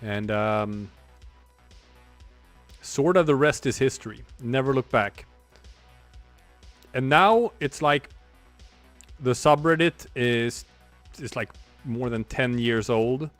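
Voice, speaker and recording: A man's voice: mid-range voice, slow pace, pauses often, fairly bright tone, faint music behind. Rambling man chatting on a stream. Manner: rambling and reflective, many restarts. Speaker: streamer and comic artist. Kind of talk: casual livestream chat while drawing.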